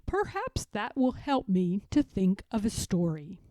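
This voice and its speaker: An earnest, puzzled toad from a children's storybook, acted out by a woman reading aloud. The delivery is slow, deliberate and emphatic, with a mellow, close dry sound. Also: mid-range voice, very animated, dry recording, pitched below the performer's own voice. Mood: thoughtful.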